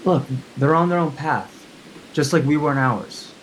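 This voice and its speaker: A man playing a calm, devout father and husband, reassuring and resolute, in a film script table read. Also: on the high side, moderate pace, slight background noise, lightly acted, low-quality recording. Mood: solemn reassurance.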